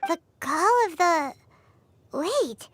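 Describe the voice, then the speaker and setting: A woman voicing a tiny, childlike female fairy companion in a video game, squeaky, excitable and blunt. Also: drawn-out words, no room sound, full character voice. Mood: curious, alarmed. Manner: exclamatory, third-person self-reference. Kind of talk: animated video game character acting.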